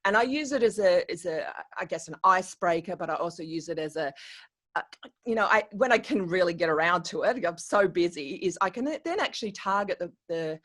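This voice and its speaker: A middle-aged woman from Australia, a coach and educator, telling her story as a podcast guest in a chatty, animated way with many restarts and fillers. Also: on the low side, brisk. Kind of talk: podcast interview.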